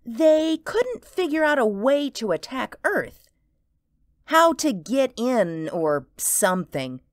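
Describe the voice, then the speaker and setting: A woman playing an excited, bossy and impatient little girl in a radio drama. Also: fairly high pitch, takes it slowly, no echo, clean studio sound. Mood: earnest, eager. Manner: impatient, emphatic, gushing.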